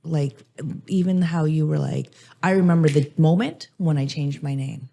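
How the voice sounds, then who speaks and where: A middle-aged woman hosts a conversational interview in a low voice with a gravelly edge. Her tone is dark and mellow, and she thinks out loud in a reflective way, often reaching for "like". She sounds searching rather than scripted. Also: moderate pace. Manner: reflective, searching, frequent "like" fillers. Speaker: podcast host.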